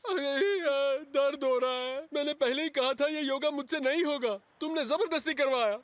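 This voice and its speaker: Very high-pitched whiny cartoon uncle. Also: male voice, moderate pace, a little hiss or hum. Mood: pained, whining. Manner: exaggerated, comically dramatic, grumbling. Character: whiny excitable middle-aged uncle, comic complainer. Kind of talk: Hindi cartoon dub acting.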